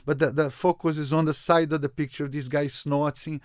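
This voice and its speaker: Animated Brazilian man telling a story. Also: on the high side, normal speed, dry recording, clean studio sound. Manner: animated, repeats words and restarts often. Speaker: photographer guest. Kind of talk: storytelling interview.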